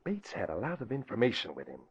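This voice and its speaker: A man's voice: fairly low pitch, huge pitch swings, fast talker, husky, heavily acted. A man playing an uneasy, scheming crooked associate, urgent and conspiratorial, in an old-time radio crime drama. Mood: worried. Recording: dull vintage radio recording.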